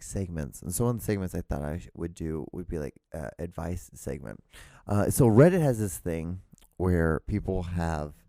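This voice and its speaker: A man with a very low voice hosts his own show alone, rambling and restarting his sentences. He has a loud voice, recorded dry and close on a handheld recorder. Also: vocal fry, no echo. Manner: rambling, lots of fillers and restarts. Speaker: podcast host. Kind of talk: solo rambling podcast chat. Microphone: handheld digital recorder.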